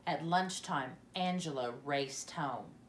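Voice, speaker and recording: A woman reading a children's storybook aloud in an expressive, deliberate manner, softening at the ends of dialogue. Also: low-pitched, roomy sound, slight background noise.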